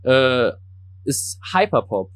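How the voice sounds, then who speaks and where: A man's voice: mid-range voice, sing-song, takes it slowly, fairly bright tone. A man interviewing a musician, asking questions in a casual, chatty way with loose phrasing.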